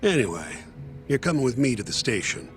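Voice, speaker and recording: A man acting as a brisk, pragmatic, unsentimental defense lawyer in a video game, speaking bluntly and colloquially. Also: mid-range voice, big pitch swings, music in the background, lightly acted. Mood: matter-of-fact. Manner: blunt, colloquial, matter-of-fact. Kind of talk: English game dub dialogue.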